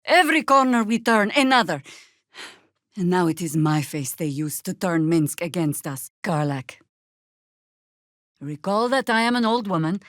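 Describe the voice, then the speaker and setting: A woman's voice: lowish voice, lively intonation, fairly bright tone, no echo. An actress playing a world-weary older female druid warrior in a video game, dry, wry and commanding. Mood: weary, sardonic. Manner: dry, wry, measured and grave.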